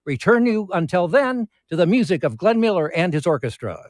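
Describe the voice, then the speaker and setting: A formal radio news announcer in an old-time 1930s radio drama. The voice sits high and reads bulletins in a measured, serious newsreader manner. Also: male voice, big pitch swings, no echo, clean studio sound.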